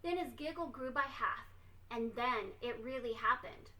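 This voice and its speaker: A woman reads a children's story aloud with theatrical emphasis. Her voice is high and bright, and she takes her time over the phrases. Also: measured pace, rough recording. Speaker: storytime reader. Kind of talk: expressive children's storybook reading.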